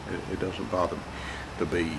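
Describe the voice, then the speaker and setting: Older guy with a stuffy croaky voice, really low, rambling on with little chuckles, lots of hiss in the recording. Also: flat delivery. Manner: rambling, halting, with frequent chuckles. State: congested, croaky. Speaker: vlogger telling his life story. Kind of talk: casual reminiscing monologue. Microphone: camera mic. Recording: noisy home camera recording.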